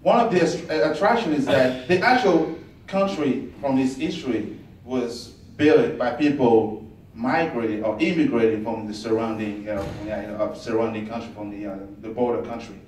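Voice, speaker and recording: A man from Ivory Coast answering audience questions after his presentation, halting with many fillers and restarts. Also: mid-range voice, darkish tone. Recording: echoey room, far from the mic, faint noise.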